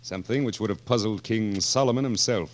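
A man's voice: fairly low pitch, fairly quick, natural voice. An actor in a 1940s radio drama playing a wily, sardonic king of France who speaks in a musing, regal, hesitant way. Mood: stern. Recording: vintage 1940s radio broadcast.

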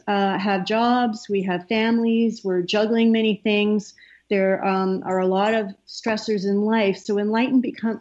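A middle-aged woman from Canada, a soft-spoken guest who talks with many fillers and pauses. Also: medium pitch. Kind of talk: casual interview talk. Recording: slightly dull, lo-fi sound.

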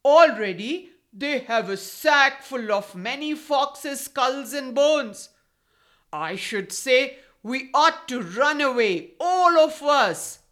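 A woman playing a loud sly storybook fox. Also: high-pitched, expressive, slow pace, full character voice. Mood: alarmed. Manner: loud, pleading when scared. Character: sly threatening male fox, cowardly.

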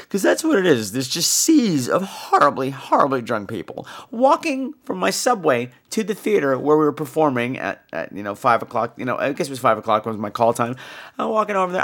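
Hoarse, animated American man hosting a podcast. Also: medium pitch. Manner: campy, animated, foul-mouthed. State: hoarse from laryngitis. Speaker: podcast host. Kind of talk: casual comic podcast chat.

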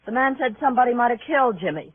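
An actress playing a tense young-sounding widow in a tavern scene of an old radio crime drama. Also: female voice, on the high side, animated, racing, husky. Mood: uneasy, suspicious. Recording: dry, muffled vintage radio recording.

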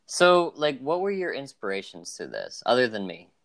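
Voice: medium pitch, big pitch swings, normal speed, gravelly, a bit bright. Podcast host, 20s; casual, teasing, friendly interviewer. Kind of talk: casual chat.

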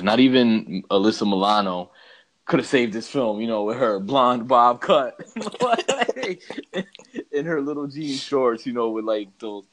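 Casting: male podcast co-host, lower-pitched voice, casual and opinionated, loose slangy phrasing. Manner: slangy, says bro and man often. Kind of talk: casual opinionated movie chat.

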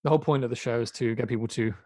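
A man hosting his podcast in a laid-back, fairly monotonous way of talking. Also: medium pitch, rapid-fire, no room sound, studio quality. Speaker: podcast host. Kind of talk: casual podcast chat.

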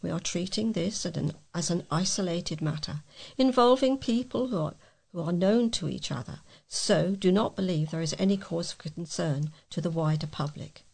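Woman reading news, slightly creaky. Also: fairly low pitch, normal speed, darkish tone. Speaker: volunteer news reader. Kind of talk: scripted local news reading.

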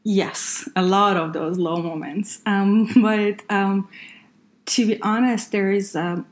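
A woman from Iran, Farsi her first language, a guest in a casual podcast interview, speaking reflectively with hesitations and soft laughs. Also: mid-range voice, fairly even intonation, slow pace. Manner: reflective, hesitant with many fillers, laughs softly. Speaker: guest engineer.

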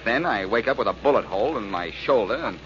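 An actor playing a cool, wisecracking tough detective in a 1940s radio drama, wry and commanding. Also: male voice, fairly low pitch, animated, fast talker, natural voice. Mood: brisk, conversational. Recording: muffled, noisy old radio recording.

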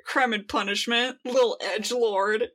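A woman's voice: a little high, fairly even intonation, normal speed, a bit bright. A woman co-hosting a podcast in casual fan discussion, with a wry, playfully exaggerated way of talking. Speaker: podcast co-host.

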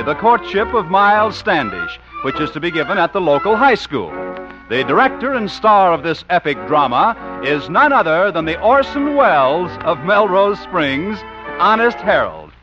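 A man's voice: high-pitched, very animated, moderate pace. A man announcing and narrating a scripted 1950s American radio comedy in a theatrical, proclaiming way. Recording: muffled old radio recording. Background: music.

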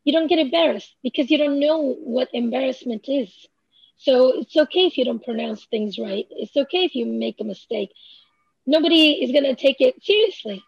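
High, gravelly-voiced woman. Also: normal speed, no room sound. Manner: thoughtful, many fillers and restarts. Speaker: author and language researcher. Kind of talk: interview answers. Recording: slightly dull lo-fi sound.

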